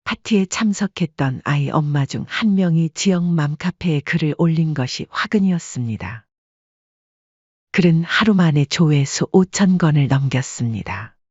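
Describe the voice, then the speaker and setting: A woman's voice: low voice, lively intonation, moderate pace, a little mellow, slightly rough, no room sound, muffled recording. A woman working as narrator, reading a scripted dramatic story.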